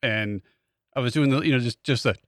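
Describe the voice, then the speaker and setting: A man's voice: mid-range voice, a little fast, slight background noise. A man telling a casual comic anecdote, wry and exasperated, acting out both sides of conversations. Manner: exasperated, acts out dialogue in anecdotes. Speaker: podcast co-host.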